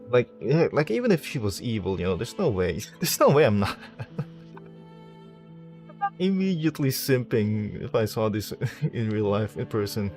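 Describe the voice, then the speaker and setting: Low-voiced, exclamatory male game streamer. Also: sing-song, moderate pace, long pauses, dark tone. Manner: joking, exclamatory, self-deprecating, often stammering. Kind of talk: casual gameplay reaction commentary. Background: game music and dialogue.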